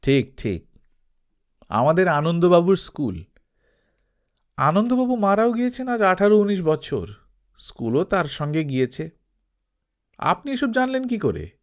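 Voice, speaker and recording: A man's voice: high-pitched, big pitch swings, unhurried, pauses often, pitched above the performer's own voice. A man playing a helpful older townsman in a storybook reading, chatty and emphatic. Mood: reminiscing, curious.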